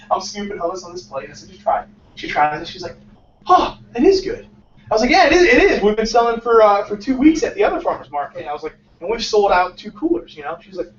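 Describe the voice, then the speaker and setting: A man in his late twenties tells his startup story to a packed room and acts out each exchange with a lot of bounce. His voice sits high for a man and is caught from a distance, roomy and a little dull, with faint room hum behind him. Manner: animated, acts out dialogue, laughs often. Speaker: startup founder giving a talk. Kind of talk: casual storytelling talk to a small audience. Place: small crowded meeting room, indoors. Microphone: far camera, no visible mic.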